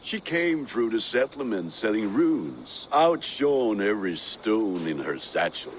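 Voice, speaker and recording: Deep, lively Viking blacksmith. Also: male voice, a little slow, slight background noise. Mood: fond, proud. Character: hearty, lovestruck Viking blacksmith man, blunt and teasing. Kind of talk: video game dialogue acting.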